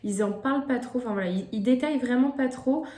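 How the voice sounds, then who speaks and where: A woman's voice: on the high side, quick pace, dark tone. Hesitant young woman in an echoey room. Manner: hesitant, many "euh" fillers and restarts. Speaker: vlogger presenting gift ideas. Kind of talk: casual chat to camera.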